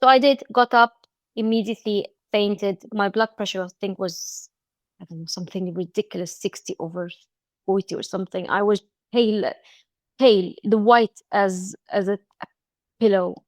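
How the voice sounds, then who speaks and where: Egyptian woman telling a story haltingly. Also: medium pitch, dry recording. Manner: halting, frequent restarts and fillers. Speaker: podcast guest. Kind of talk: casual interview chat.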